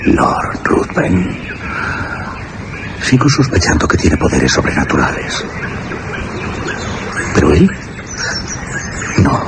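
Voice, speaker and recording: An actor playing an earnest, dreamy young English gentleman archaeologist in a Spanish radio drama. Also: rumbling low, background noise, exaggerated voice. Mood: incredulous, troubled. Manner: dreamy, expressive delivery. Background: music behind the voice.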